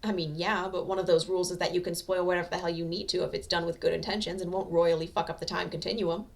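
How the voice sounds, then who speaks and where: Woman as a gruff, foul-mouthed young male hero. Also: on the low side, flat delivery, fast. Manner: blunt, forceful, swearing.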